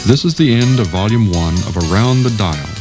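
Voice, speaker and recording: A man's voice: on the low side, animated, brisk, music in the background. A man reading scripted documentary narration as the narrator.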